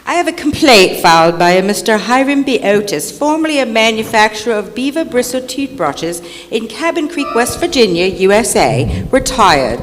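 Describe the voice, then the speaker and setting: Woman as a pompous court official, very lively pitch, echoey. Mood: formal. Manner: formal, officious, measured wording. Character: pompous, courteous British royal mediator, official. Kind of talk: old-time radio stage acting. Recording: echoey hall, faint noise.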